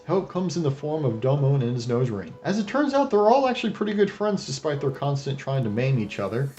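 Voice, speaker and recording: A man's voice: mid-range voice, a little mellow. A man hosting a video review, narrating with wry, sardonic commentary and crude joking asides. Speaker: host of an anime retrospective review.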